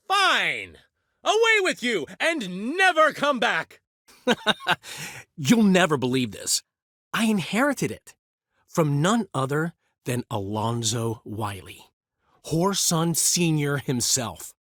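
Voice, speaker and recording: A witty, vain male bard who carries himself like a count in a video game. His delivery is theatrical and teasing, swinging widely in pitch between laughter and mock outrage. The sound is close and dry, with no room around it. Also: pushed and bright, dry recording, full character voice. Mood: playful, mocking. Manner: theatrical, playful, bursts of laughter and exclamation.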